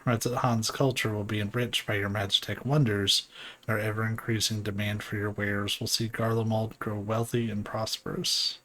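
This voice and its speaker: A man voicing a courteous, dignified ruler in a video game, with formal, archaic, measured diction. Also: fairly low pitch, flat delivery, pushed and bright, rough recording. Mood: formal, earnest.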